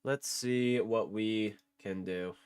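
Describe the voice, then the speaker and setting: A man's voice: fairly low pitch, slow pace, a little mellow, clean tone, no room sound, clean studio sound. A young man streaming a game with a stuffy nose, chatting casually, repeating phrases and swearing. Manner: repeats phrases, profane, laid-back. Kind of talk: casual live-stream chat. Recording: faint music behind.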